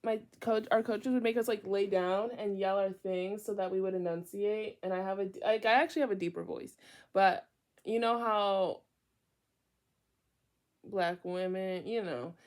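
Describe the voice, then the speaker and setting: A young woman from Virginia reading a book aloud in a casual way, often drifting into chatty side remarks, with a high and often loud voice. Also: fairly even intonation, moderate pace. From Virginia, USA. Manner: chatty, digressive, mixes reading with asides. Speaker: book reader. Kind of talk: casual read-aloud with tangents.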